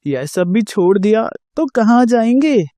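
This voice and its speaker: A poor, anxious village son from a cartoon fable, played by a man. His voice is mellow and the sound is dry. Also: sits high, sing-song, unhurried, dry recording. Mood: worried. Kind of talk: Hindi cartoon moral story acting.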